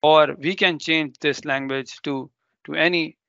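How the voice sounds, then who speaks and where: A man's voice: on the high side, fairly even intonation, a little slow, gravelly, pushed and bright, dry recording, muffled recording. A man whose first language is Urdu, presenting a live technical demo and explaining step by step with ums and self-corrections.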